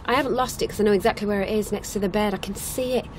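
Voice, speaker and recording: A woman's voice: medium pitch, steady tone, close to an everyday voice. Actress as a flustered young woman hitch-hiker, car noise. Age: young adult. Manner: hesitant, halting. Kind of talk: naturalistic radio drama acting. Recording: clear vehicle noise behind. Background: car interior ambience, engine noise.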